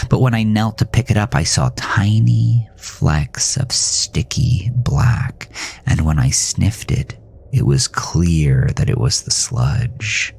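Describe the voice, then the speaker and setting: A man playing a haunted, frightened narrator in a horror audio drama, telling his story slowly and with suspense. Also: low-pitched, creaky, husky, heavily acted.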